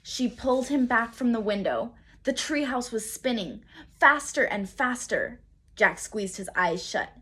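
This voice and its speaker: A woman reads a children's storybook aloud like a teacher. Her delivery is slow and dramatic, with very varied pitch and deliberate pauses. The voice has a slightly husky grain. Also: animated, pushed and bright.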